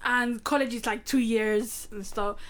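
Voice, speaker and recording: A young woman co-hosts a podcast in casual chat. Her voice is notably high, and she tells lively anecdotes with frequent laughs and loose swearing. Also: a little slow. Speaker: podcast co-host.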